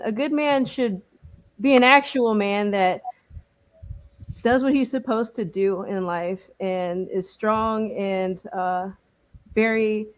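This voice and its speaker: A woman speaks as a guest on a casual podcast panel. She answers hesitantly and hedges often. She uses plenty of fillers. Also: medium pitch. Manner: hesitant, filler-heavy, laughing. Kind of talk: casual discussion.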